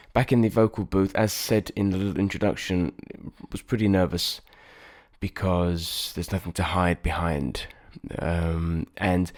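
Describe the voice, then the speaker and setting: Male singer-songwriter, deep nasal voice, rambling and self-critical vlog chatter. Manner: rambling, self-deprecating, frequent restarts. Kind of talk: reflective casual vlog talk.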